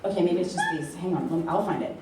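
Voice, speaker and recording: A woman's voice: lowish voice, fast, close to an everyday voice. A woman playing Cindy, a plucky, scatterbrained and nervous pilot in a comic radio play performed live in a hall. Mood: flustered. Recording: roomy live hall, distant, noisy.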